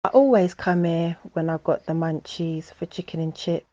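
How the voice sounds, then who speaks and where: A man's voice: fairly high pitch, normal speed, warm tone, lo-fi sound, pitched above the performer's own voice, natural voice. Man doing a breezy young woman.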